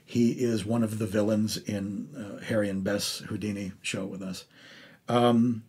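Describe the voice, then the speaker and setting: A man with a lowish, rough-edged voice hosts a relaxed interview. He speaks conversationally, circling back and repeating words. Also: a little slow. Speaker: host and theatre director-producer. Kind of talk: casual interview chat.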